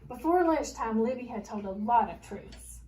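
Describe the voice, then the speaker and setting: A woman teacher reading a children's story aloud with expressive narration. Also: on the high side, normal speed. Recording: noisy, distant microphone.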